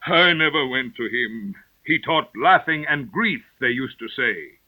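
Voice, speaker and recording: Slow, mournful old Mock Turtle. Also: male voice, lively intonation, pushed and bright, exaggerated voice, low-quality recording. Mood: wistful. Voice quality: deep, hollow tone. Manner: slow, mournful, drawn-out delivery. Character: sad, sighing old Mock Turtle, gloomy and touchy. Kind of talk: dramatised storybook reading.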